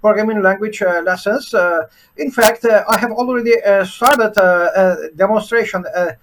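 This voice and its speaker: A man with a Turkish accent and a fairly high voice, hosting his own programming channel. He talks haltingly, filling almost every pause with "uh" while he shares his screen. A faint hiss sits under the recording. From Turkey. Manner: halting, with constant "uh" fillers between words. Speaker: programming teacher and channel host. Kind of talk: unscripted screen-share presentation.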